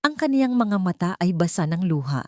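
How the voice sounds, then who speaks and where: A woman's voice: fairly low pitch, sing-song, fairly quick, dark tone, no echo, studio quality. A woman storyteller reading a scripted dramatic audio story.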